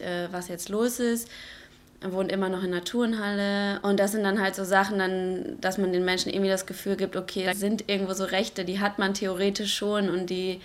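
A woman in her twenties, a law student volunteer, talking casually and chattily in an interview. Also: medium pitch. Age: 20s. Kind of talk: interview statement.

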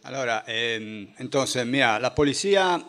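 A middle-aged man from Italy speaks Spanish with a clear Italian accent, his first language showing through. His voice is ordinary in pitch, and he explains things in an earnest, patient way. He is a guest in a radio studio. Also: animated, unhurried, a bit bright. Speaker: former police officer guest. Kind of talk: casual radio interview answers.

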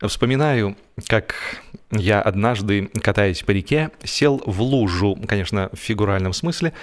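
Man narrating a humorous story expressively. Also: fairly low pitch. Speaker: audiobook narrator and performer.

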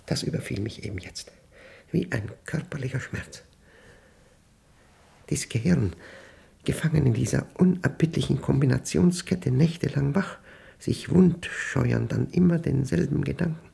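Older male for radio drama monologue: solitary brooder, dark mellow tone, husky, halting and deliberate. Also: mid-range voice, lively intonation, heavily acted. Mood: troubled, intense. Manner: halting, deliberate, introspective phrasing. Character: aging, solitary man brooding over a humming machine.

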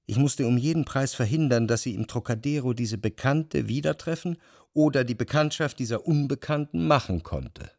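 An actor playing a jealous, brooding narrator who speaks in measured, reflective long sentences in a literary radio drama. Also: male voice, mid-range voice, expressive, gravelly, darkish tone, no room sound, studio quality. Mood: anxious, determined. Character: jealous, brooding male narrator, introspective and anxious.

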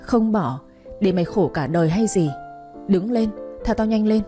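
Một phụ nữ đóng bà mẹ trung niên giận dữ. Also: female voice, moderate pace, dark tone, faint music behind, close to an everyday voice. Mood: furious. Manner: shrill, harsh scolding. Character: furious middle-aged mother scolding daughter.

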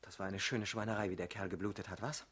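Troubled, defiant young revolutionary. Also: male voice, deep voice, little pitch movement, fast talker, a bit bright, slightly rough. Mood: bitter, brooding. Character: troubled, defiant young man, party revolutionary with an assassin's mission. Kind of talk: mid-century German radio play acting.

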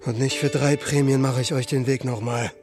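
An actor playing an exasperated worker-inventor, ranting sardonically in a declamatory, singsong radio play style with music behind. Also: male voice, mid-range voice, fairly even intonation, a little fast, natural voice. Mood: weary, bitter. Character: exasperated male worker-inventor ranting about bureaucratic runaround. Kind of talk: stylised stage-like radio play delivery.

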